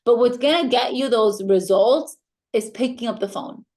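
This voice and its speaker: High-voiced woman with a foreign accent. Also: moderate pace, a little mellow. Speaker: sales team mentor. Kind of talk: motivational team training call talk.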